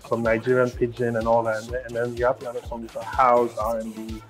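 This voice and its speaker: A man from Ghana, a musician and actor giving relaxed answers as an interview guest. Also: medium pitch, fast, music in the background.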